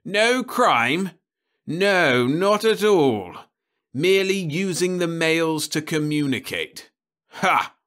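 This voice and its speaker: A man's voice: a little high, lively intonation, takes it slowly, a bit bright, voice pushed up. A man voicing a blustering older male official who thunders indignant questions.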